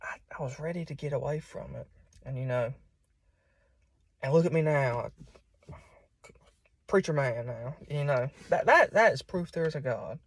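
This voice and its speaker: Husky young Southern preacher man. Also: medium pitch, normal speed, pauses often, dry recording. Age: young adult. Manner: folksy, conversational. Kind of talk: informal sermon. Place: solo self-recording.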